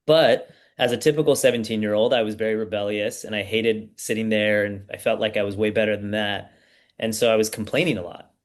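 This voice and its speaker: A man in his thirties from the United States, a startup founder guest telling his story in a relaxed, casual way with plenty of fillers. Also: lowish voice. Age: 30s. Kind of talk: casual podcast interview.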